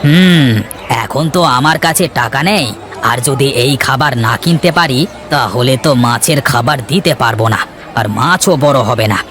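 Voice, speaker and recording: A man's voice: mid-range voice, normal speed, fairly bright tone, faint music behind, close to an everyday voice. A man playing an eager, gullible and jealous younger brother in a village cartoon, repeating himself insistently. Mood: anxious, giving in. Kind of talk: Bangla cartoon voice acting.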